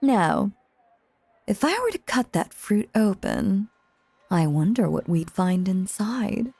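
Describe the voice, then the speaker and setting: A dark, mellow female voice, breathy and very dry, a woman acting as a seductive stage magician in an audio roleplay. She takes her time, theatrical and teasing, with a possessive, doting undertone. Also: mid-range voice, wildly swooping pitch, slow, no room sound, full character voice. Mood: sly, teasing. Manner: theatrical, flirtatious, silky and teasing.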